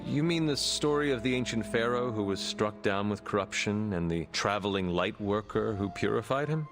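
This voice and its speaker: An actor playing a solemn, self-assured warrior hero in a video game, speaking in a formal, terse, deadpan way. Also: male voice, lowish voice, normal speed, over music. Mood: composed. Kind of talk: video game cutscene dialogue.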